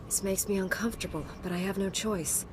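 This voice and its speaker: A woman playing a calm, dutiful Jedi Knight in a video game, measured and earnest in delivery. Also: medium pitch, background noise, lightly acted. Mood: cautious, dutiful.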